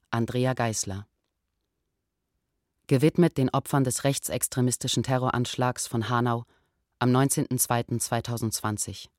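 A man's voice: medium pitch, no room sound, clean studio sound. A man reading scripted radio credits as an announcer.

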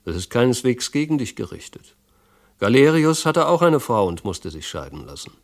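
A man's voice: medium pitch, very animated, moderate pace, voice pushed up. A male audiobook reader voicing a self-satisfied, affable but condescending Roman husband and rising ruler. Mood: breezy, casual.

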